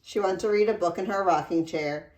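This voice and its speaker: A woman reading a children's storybook aloud in an expressive, deliberate storytelling manner. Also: on the low side. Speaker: read-aloud narrator. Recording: roomy echo.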